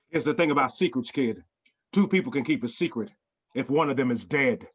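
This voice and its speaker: A husky, sinister mad professor. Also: male voice, medium pitch, expressive, moderate pace. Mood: menacing, smug. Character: brilliant mad scientist professor, dark and menacing, energetic. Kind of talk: dramatic screenplay reading.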